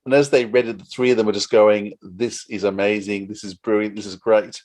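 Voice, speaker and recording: A man's voice: on the low side, fairly even intonation, a little fast, darkish tone. A man hosting a casual interview, chatting as the interviewer.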